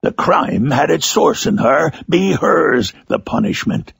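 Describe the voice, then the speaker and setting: A menacing outcast creature telling his dark tale like a gothic storybook. The voice is deeply gravelly and husky, with huge swings in pitch. He speaks slowly and theatrically, brooding and bitter. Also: sing-song, no echo, clean studio sound, full character voice. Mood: tormented, bitter. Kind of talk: dramatic first-person literary monologue.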